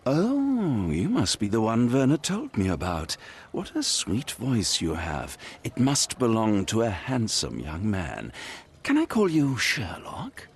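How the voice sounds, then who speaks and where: A flamboyant, flirtatious host at a game's masked party, his voice swooping wildly between high and low. He drawls slowly and lingers over every word, with a faint hiss of noise behind him. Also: wildly swooping pitch, heavily acted. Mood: flirty. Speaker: masquerade host.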